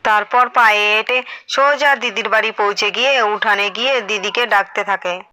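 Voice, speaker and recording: A woman's voice: medium pitch, normal speed, pushed and bright, a little hiss or hum. A woman narrator reading a scripted cartoon story.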